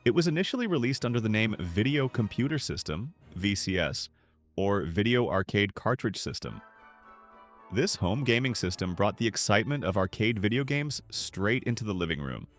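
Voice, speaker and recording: A man reading scripted documentary narration in a measured, polished storytelling manner. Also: lowish voice, very animated, faint music behind.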